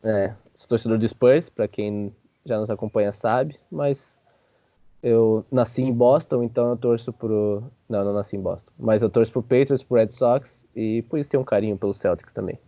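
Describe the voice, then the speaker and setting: A man's voice: lowish voice, normal speed, no echo, muffled recording. A man hosting a sports show with casual, joking basketball commentary full of informal filler words.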